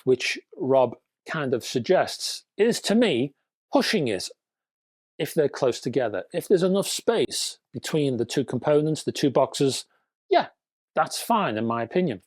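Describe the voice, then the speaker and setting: Man presenting with very lively, swooping intonation. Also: medium pitch, dry recording, studio quality. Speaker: host of a hi-fi news show. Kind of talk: scripted presenting to viewers with asides.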